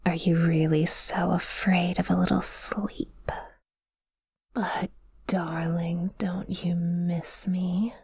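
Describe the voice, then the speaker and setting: A woman playing a possessive, menacing, teasing yandere demon in a breathy, whispered ASMR roleplay, slow and intimate. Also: fairly low pitch, little pitch movement, a little mellow, no echo, clean studio sound, exaggerated voice. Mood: teasing menace.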